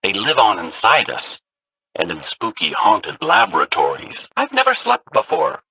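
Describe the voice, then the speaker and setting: Brief: an excitable game robot sidekick, bright and gravelly. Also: male voice, fairly low pitch, moderate pace, no room sound, exaggerated voice, low-quality recording. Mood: excited. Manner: excitable, swooping delivery. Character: chirpy robot companion, upbeat and nerdy.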